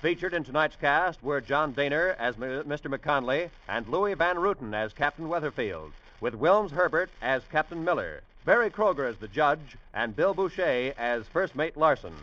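A male radio announcer with a bright, somewhat high voice. He reads in a formal mid-century broadcast style. The recording is muffled. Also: sing-song, moderate pace, few pauses.

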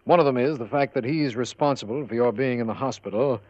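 A man's voice: medium pitch, quick pace, a little mellow, dry recording, natural voice. An American man playing an earnest, skeptical district attorney in a 1950s radio drama, formal and emphatic. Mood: earnest, concerned. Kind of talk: 1950 radio drama acting. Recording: dull old radio recording.

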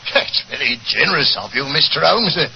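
An actor playing a deferential, plain-spoken police inspector, in 1940s radio style. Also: male voice, a little high, sing-song, brisk, creaky, dull audio. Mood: deferential, pleased. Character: deferential, grateful male Scotland Yard police inspector. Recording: noisy, band-limited 1940s radio recording.

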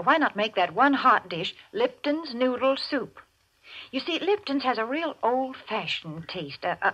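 A woman announcer delivering a scripted 1940s radio sponsor pitch in a folksy, chatty way. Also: fairly bright tone. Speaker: commercial announcer and co-host. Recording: dull old radio recording.

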